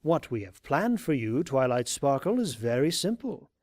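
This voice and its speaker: A man playing a coldly polite, sinister pony cult leader in an audio drama, speaking in a measured, formal and menacing way. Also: medium pitch, sing-song, a little mellow, no room sound, clean studio sound. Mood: smugly menacing. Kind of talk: fan audio drama acting.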